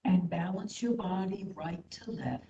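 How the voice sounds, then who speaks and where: A woman leading a guided meditation as an instructor, speaking in a soothing, gentle, unhurried manner. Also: on the low side, lively intonation, vocal fry. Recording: echoey room, slightly dull sound.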